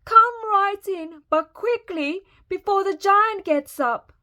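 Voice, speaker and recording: Lady doing a big booming giantess, kind but bossy, really high fairytale voice. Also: takes it slowly, pushed and bright, full character voice. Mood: kindly, urgent. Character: huge giantess, kindly, booming, bossy and scolding.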